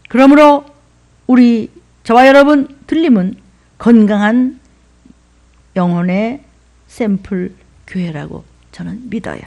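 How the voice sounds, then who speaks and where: A middle-aged woman pastor with a Seoul accent, preaching a sermon in an emphatic, rhythmic way, dropping to whispers and rising to shouts. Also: fairly high pitch. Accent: Seoul dialect.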